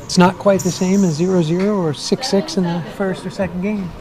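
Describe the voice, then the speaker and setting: Loud chatty male sports commentator. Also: high-pitched, little pitch movement, a little fast. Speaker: color commentator.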